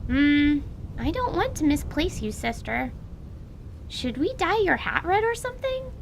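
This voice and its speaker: Squeaky, excitable little girl. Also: a little slow, creaky, slight background noise, exaggerated voice. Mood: teasing. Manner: exclamatory, teasing, bouncy. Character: excitable cheeky young girl, big sister.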